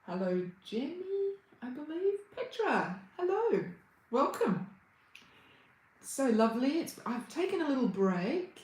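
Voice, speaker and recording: Lively Australian woman, echoey room. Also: high-pitched, slow pace. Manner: chatty, greets viewers by name, laughs easily. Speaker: Reiki healer and livestream host. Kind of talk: casual livestream chat greeting viewers.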